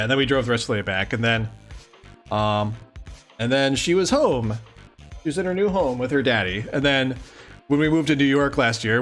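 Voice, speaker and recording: A man's voice: mid-range voice, fairly bright tone. A man hosting a livestream, chatting casually and affectionately with his viewers. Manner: affectionate, cooing to a pet. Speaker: livestream host.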